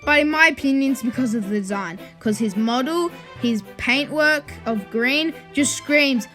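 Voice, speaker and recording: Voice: high voice, normal speed, pushed and bright, faint music behind. A video host giving informal opinion commentary in a ranking video, with conversational asides.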